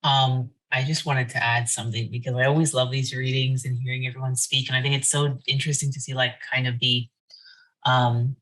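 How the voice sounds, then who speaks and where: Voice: mid-range voice, fairly bright tone, low-quality recording. An editor speaking casually in an earnest, informal way, with repeated emphasis on key words. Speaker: poetry editor. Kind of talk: casual spoken remarks of thanks.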